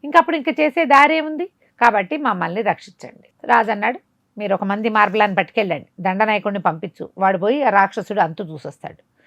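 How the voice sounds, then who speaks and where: Woman telling a kids bedtime story, dramatic n chatty, asks questions as she goes, mid pitch, dry sound. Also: fast, vocal fry, fairly bright tone, dry recording. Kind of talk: animated oral storytelling, conversational bedtime tale.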